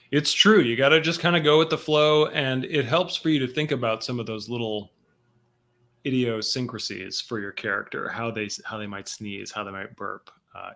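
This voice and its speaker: A man hosting a casual roleplaying-game discussion over a video call, talking through a stuffy, sniffly cold with quick examples. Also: medium pitch, vocal fry, fairly bright tone. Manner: chatty, explains with quick examples. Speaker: host.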